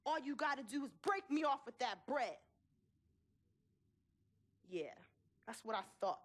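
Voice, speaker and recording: Game cutscene role: combative, protective sister-manager, young American woman, high and animated, dripping sarcasm. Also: a little slow. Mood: sarcastic mocking. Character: sharp-tongued, protective twin sister and manager. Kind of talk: dramatic video game cutscene acting.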